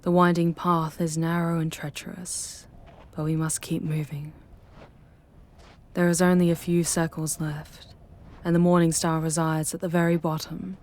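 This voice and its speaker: A woman voicing an ancient, proud, wounded female demon who guides a traveler through hell in an ASMR roleplay, speaking softly and formally in a breathy, solemn, deliberate way. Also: low-pitched, flat delivery. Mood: resolute. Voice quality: soft, breathy, husky.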